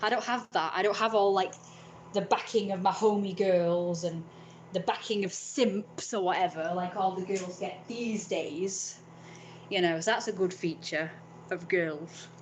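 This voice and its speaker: A woman's voice: fairly low pitch, unhurried, slight background noise, low-quality recording. A young woman, talking in a frank, rambling vlog monologue and swearing freely.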